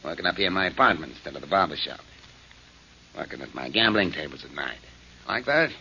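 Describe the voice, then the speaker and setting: A threatening gambling-house boss in an old radio drama. His deep, gravelly voice rises and falls a lot and sounds husky. The broadcast is muffled. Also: lively intonation, a little fast, sharp tone, full character voice. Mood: bossy. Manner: gruff, threatening, clipped slang. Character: menacing male gambling boss, gruff and bossy. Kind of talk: 1940s radio acting.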